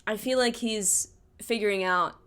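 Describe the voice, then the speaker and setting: A woman's voice: medium pitch, normal speed, fairly bright tone, smooth. A woman hosting a podcast, chatting casually about a tabletop game campaign. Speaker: podcast host.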